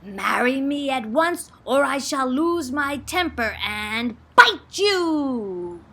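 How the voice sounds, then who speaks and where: A woman's voice: high voice, big pitch swings, slow pace, fairly bright tone, full character voice. A woman voicing a smitten, demanding female shark in a children's storybook, with emphatic delivery.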